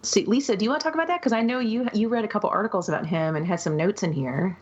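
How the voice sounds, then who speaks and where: A woman speaks at a very rapid clip in casual podcast chat. Her voice has a husky edge. The recording is a bit dull and lo-fi. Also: mid-range voice. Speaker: podcast co-host.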